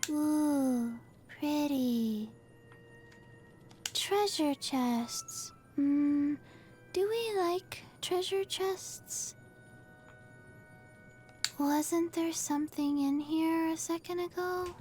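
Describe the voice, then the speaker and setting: A woman voicing a curious, girlish video game character with drawn-out delivery. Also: sits high, a little mellow, soft background music. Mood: curious, wistful.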